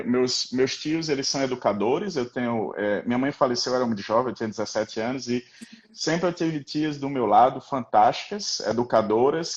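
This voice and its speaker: A man's voice: medium pitch, muffled recording. A man with a Rio Grande do Norte accent, a guest professor talking casually on a live stream, rambling with many fillers and tag questions. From Rio Grande do Norte, Brazil.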